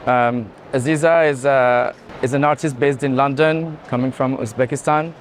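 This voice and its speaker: Male art director, casual and halting, mid-range voice, clear noise of a busy venue. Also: medium pitch, steady tone. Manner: halting, loosely phrased with frequent "like". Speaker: art institution director on a fair tour. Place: busy art fair hall.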